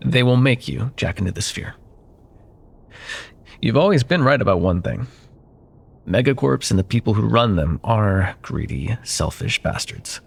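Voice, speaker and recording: A man playing a smug, possessive corporate CEO and jilted ex-lover in an intimate ASMR roleplay, with silky condescension and pointed pauses. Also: on the low side, lively intonation, creaky, slightly rough, heavily acted. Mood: coolly menacing.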